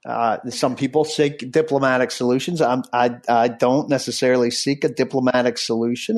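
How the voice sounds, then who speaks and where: A man's voice: on the high side, darkish tone. A middle-aged man hosting a podcast, talking in a blunt, rambling way with many restarts and fillers. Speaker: podcast host. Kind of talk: casual podcast chat.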